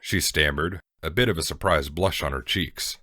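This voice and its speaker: A man's voice: a bass voice, moderate pace, pushed and bright, dry recording, clean studio sound. A man narrating a scripted fan fiction story as a dramatic reading.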